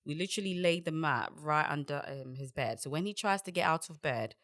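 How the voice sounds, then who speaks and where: A man's voice: fairly high pitch, brisk, fairly bright tone. A man from Ghana, talking earnestly in a casual group chat and telling stories from his work, often restarting with "the thing is".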